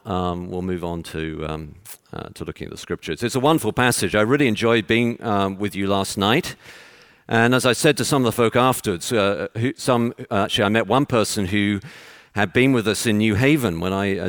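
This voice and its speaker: Low-voiced Texan preacher. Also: male voice, no room sound, clean studio sound. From Texas. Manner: earnest, conversational preaching with halting pauses. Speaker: visiting preacher. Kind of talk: conference sermon. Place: church conference.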